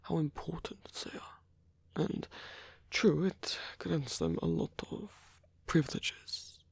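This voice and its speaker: Guy doing a lonely old vampire for asmr, slow breathy gravelly voice, gentle n polite, kinda hesitant, super close dry sound. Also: fairly high pitch, sing-song, no echo, full character voice. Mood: gentle, musing. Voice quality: breathy, husky, gravelly whisper. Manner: hesitant, slow, softly formal. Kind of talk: intimate ASMR roleplay acting.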